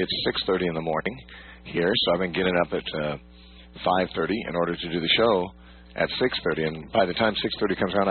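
A man hosting a live Bible call-in radio show, explaining in a measured way with a husky morning voice. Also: deep voice. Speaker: radio host. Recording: muffled lo-fi radio, humming noise.

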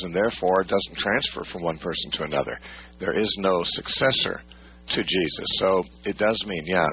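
A man hosting a live Bible call-in radio show, explaining in a measured way with a husky morning voice. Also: sits low. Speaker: radio host. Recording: muffled lo-fi radio, humming noise.

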